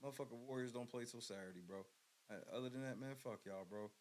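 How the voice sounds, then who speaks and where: A man hosting his own show, talking bluntly and profanely in a casual, swaggering way with loud outbursts. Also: mid-range voice, fast, slight background noise. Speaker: podcast host.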